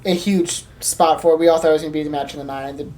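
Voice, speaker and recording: A woman's voice: low voice, fairly even intonation, rapid-fire, dark tone. A woman co-hosting a podcast, chatting casually and conversationally. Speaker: podcast co-host. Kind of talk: casual chat.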